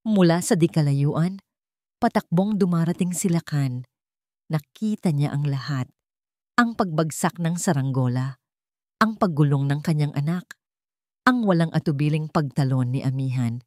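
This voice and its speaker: Low-voiced woman narrating drama. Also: normal speed, pauses often, warm tone, no room sound, studio quality. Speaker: storyteller.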